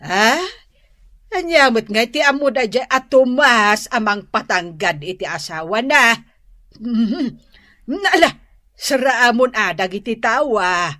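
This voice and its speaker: A woman's voice: fairly high pitch, expressive, slow, bright, forceful tone, dry recording, clean studio sound, exaggerated voice. A woman playing a doting, fussy grandmother in Ilocano radio drama, exclamatory and melodramatic, with an Ilocano accent. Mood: bossy, fussy. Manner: gushing, exclamatory, sing-song wailing.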